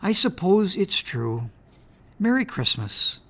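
A woman's voice: mid-range voice, big pitch swings, slow, voice pushed up. A woman voicing a small, sad young male bunny who talks tearfully and pleads.

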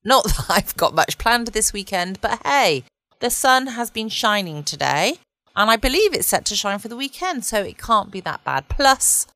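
A woman's voice: mid-range voice, sharp tone, no room sound. A woman chatting casually and ramblingly as the host of a late-night podcast.

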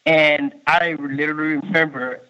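A man in his thirties, born in Haiti and raised in Miami, with a Miami accent, answering interview questions in a halting way, full of fillers and restarts. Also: fairly high pitch, little pitch movement, bright, forceful tone, clear, resonant tone. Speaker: state workforce official, guest. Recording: muffled lo-fi recording.

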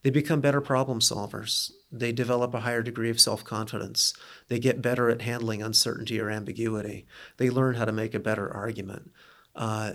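Brief: gravelly middle-aged male administrator, earnest and measured. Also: medium pitch, a bit bright. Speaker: college dean, interview guest. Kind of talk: reflective interview answers.

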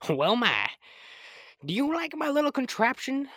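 A young man playing a proud, excitable scientist-inventor in old-time radio serial style, with a fussy, halting, stammering manner. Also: extremely high pitch, no room sound, clean studio sound, exaggerated voice. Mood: pleased, proud. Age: young adult. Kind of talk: 1930s radio serial acting.

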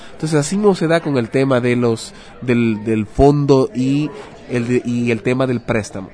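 Man, Dominican accent, mid-pitched voice, didactic, interview guest, explains by question and example. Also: a little mellow. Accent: Dominican Spanish. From Dominican Republic. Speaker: guest, personal finance adviser.

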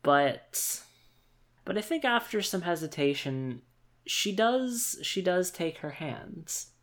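A tabletop role-player of unclear gender casually improvising narration in a halting, wordy and wry manner. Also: medium pitch, very animated, a bit bright.

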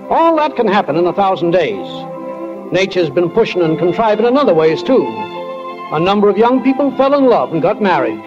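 Folksy small-town narrator, high-pitched, homespun and wry, muffled old radio drama recording with music. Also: male voice, big pitch swings, a little fast. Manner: homespun, plain-spoken, addresses listeners directly. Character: folksy small-town stage manager narrator, wryly philosophical. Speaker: narrator of a play. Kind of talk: 1940s radio acting, conversational narration.

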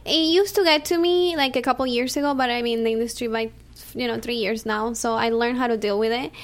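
A woman's voice: fairly high pitch, fairly even intonation, quick pace. A young woman from Venezuela with a Venezuelan accent, a guest chatting casually in a radio interview, filling her talk with "um" and "you know.".

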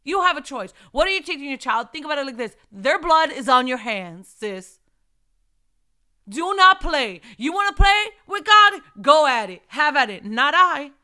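A woman's voice: sits high, sing-song, moderate pace. A young woman giving an informal preaching talk, urging other mothers with repeated rhetorical questions and fervent exhortations. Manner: shifts between soft reflective testimony and loud urging.

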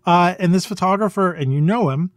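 A man in a casual podcast conversation, talking in a halting way as he searches for his words. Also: high-pitched, dry recording. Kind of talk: casual conversational podcast chat about photography.

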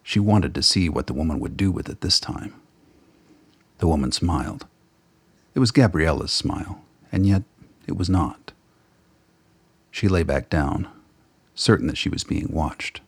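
A man's voice: deep voice, vocal fry, breathy edge, no echo. A man reading scripted narration for an audio drama.

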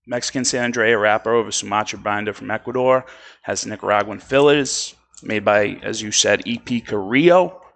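A man with a Boston accent co-hosting a casual cigar podcast, chatty and relaxed as he explains details. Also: fairly low pitch, moderate pace, creaky. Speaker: podcast co-host. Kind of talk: casual chat.

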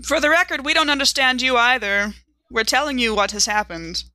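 Bright, higher-pitched female game player. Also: brisk, no room sound. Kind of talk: casual game-table banter.

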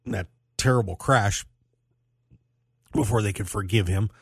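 A man with a fairly low voice hosts a casual sports talk radio show. He speaks conversationally to his listeners, and the sound is dry and close, like a studio. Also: normal speed, gravelly, breathy edge, no echo.

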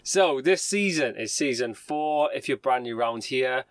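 A man's voice: mid-range voice, lively intonation, unhurried, no echo. A man co-hosting a casual podcast from inside a camper bus, upbeat, teasing and laughing often. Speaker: podcast co-host. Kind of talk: casual banter.

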